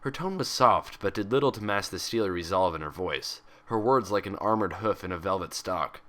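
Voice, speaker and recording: Man, story narrator, lowish pitch, sharp bright tone, scripted read-aloud delivery. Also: expressive, brisk. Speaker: fan fiction reader and host.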